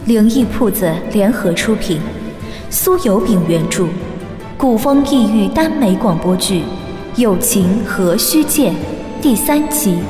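A woman's voice: medium pitch, lively intonation, slow, music in the background. A woman announcer reading scripted credits for an audio drama in a reverberant, echoing recording. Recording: reverberant hall echo.

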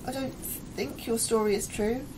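An actress playing an anxious, troubled woman scientist in an audio drama, confiding her fears in a hesitant, halting way. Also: fairly high pitch, fairly even intonation, background noise, close to an everyday voice. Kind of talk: audio drama acting, diary-like monologue and dialogue.

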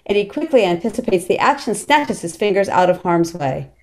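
A woman's voice: medium pitch, big pitch swings, fairly quick, a little mellow. A middle-aged woman reading stage directions as scripted narration over a video call. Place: small plain room at home, on video call.

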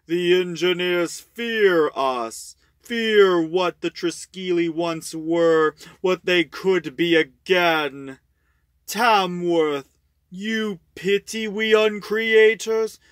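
An actor playing a menacing, resentful alien monster leader in a science-fiction audio drama, slow, forceful and declamatory. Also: medium pitch, clean tone, dry recording, studio quality. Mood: menacing, bitter.

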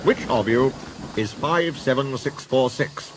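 A man's voice: mid-range voice, measured pace, background noise, lightly acted. A man voicing a stern, exasperated railway controller from a children's story, speaking in commanding, clipped questions. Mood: stern, questioning.

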